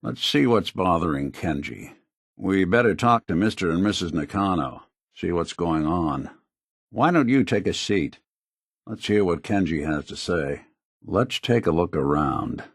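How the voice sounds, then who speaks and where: A man's voice: deep voice, animated, unhurried, breathy edge, no room sound, clean studio sound, full character voice. An American man playing a world-weary, wry synthetic detective in a video game, speaking like a hardboiled gumshoe. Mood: focused, investigative. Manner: wry, streetwise, plain-spoken. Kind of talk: video game companion dialogue, hardboiled noir acting.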